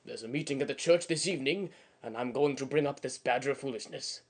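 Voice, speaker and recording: A man playing a stern but kindly reverend father with a husky voice, in an old-time radio drama style. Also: medium pitch, a little fast.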